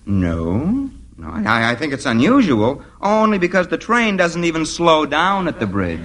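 An actor playing a needling, jealous advertising office rival, wisecracking in a mock-casual way in a 1950s radio comedy. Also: male voice, a little high, wildly swooping pitch, a little slow, a bit bright, exaggerated voice. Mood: sly, needling. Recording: very noisy old radio recording.

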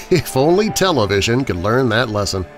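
A man hosting a podcast, reading a scripted introduction to old-time radio with theatrical, deliberate emphasis. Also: mid-range voice, sing-song. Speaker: podcast host. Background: background music.

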